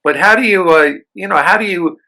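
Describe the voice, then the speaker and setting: An American man hosting a radio interview, talking haltingly with many fillers and restarts. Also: high-pitched, pushed and bright, poor audio. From United States. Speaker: radio show host.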